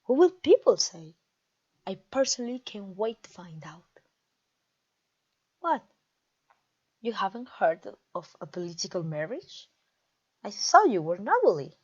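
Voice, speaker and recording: A woman playing a haughty, mocking vampire queen in a theatrical ASMR roleplay, pompous and teasing, with drawn-out phrasing. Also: mid-range voice, very animated, a little mellow, slightly rough, lo-fi sound. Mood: mockingly amused. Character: haughty, mocking, conquering vampire queen taunting her captive. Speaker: audio roleplay voice actor.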